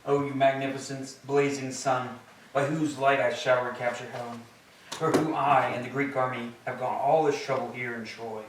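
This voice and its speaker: Heard from a distance in a very reverberant church, a man acts out a vengeful Greek warrior king. He declaims imperiously, as befits a wronged husband in classical tragedy, at a middling pitch. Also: little pitch movement, moderate pace, close to an everyday voice. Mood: proud, bitter. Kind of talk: staged reading of Greek tragedy. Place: church, live staged reading. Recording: echoey church, distant mic, faint noise.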